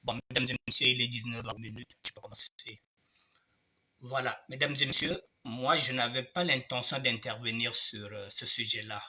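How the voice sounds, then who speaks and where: This is an older man with a cold who hosts a commentary show. His voice is strikingly bright and sits at an ordinary male pitch. He addresses his listeners formally and repeats himself for emphasis. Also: fairly even intonation, normal speed. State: has a cold. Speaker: online show host. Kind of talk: monologue commentary.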